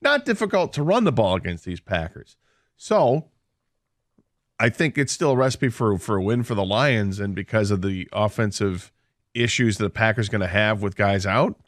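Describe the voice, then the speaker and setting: Lower-pitched guy on sports radio, dry studio sound, talking football picks casually and sure of himself. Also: animated, normal speed, long pauses, dry recording. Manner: analytical, confident picks.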